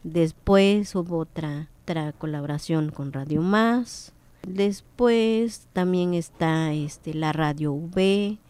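A woman's voice: lowish voice, takes it slowly, darkish tone, smooth, dry recording. A woman whose first language is Popoluca, a linguist speaking as a guest in a radio interview, hesitant with many fillers.

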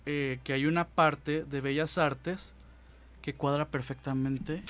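Animated Mexican man in his thirties. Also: a little high, takes it slowly, soft background music. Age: 30s. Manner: animated, rambling, filler-heavy. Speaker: guest, hobby photographer. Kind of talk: casual storytelling.

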